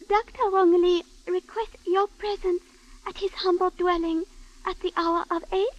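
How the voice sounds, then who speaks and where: An actress playing a composed, formal Chinese servant woman in a 1950s radio drama, speaking in measured, ceremonious phrases. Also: very high voice, fairly even intonation, dry recording. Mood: solemn, insistent. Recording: dull vintage radio recording.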